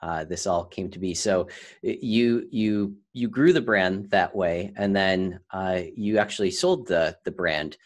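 A man with a fairly low voice hosts a podcast interview. His questions come out casually and haltingly, with words repeated as he goes.

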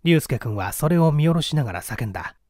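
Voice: medium pitch, lively intonation, a little fast, a little mellow, dry recording, clean studio sound. A narrator reading a mystery story aloud in a dramatic scripted style.

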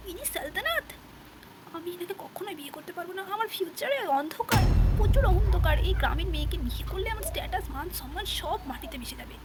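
Woman doing a vain young man doll, high-voiced. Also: animated, fast, very noisy. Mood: dismayed, scornful. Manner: boastful, haughty, mixes English words into speech. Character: vain, snobbish young man, self-styled handsome success. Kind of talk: children's doll puppet play. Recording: loud music behind the voice.

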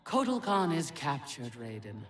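An actor playing a solemn, imperious dark god in a video game, speaking formally and pompously. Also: male voice, mid-range voice, very animated, a little slow, distant mic. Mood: cold, imperious. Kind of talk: dramatic video game cutscene acting.

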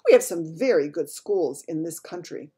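A woman voicing a composed, dignified older queen with polite, unhurried speech. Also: sits low, animated, mellow, slightly rough, exaggerated voice.

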